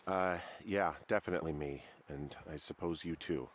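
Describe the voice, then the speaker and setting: An American actor playing a wary, rattled fire lookout in a video game, with a halting, naturalistic conversational delivery. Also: male voice, very deep voice, a little hiss or hum. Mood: puzzled, uneasy. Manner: halting, conversational, swearing under stress. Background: background music.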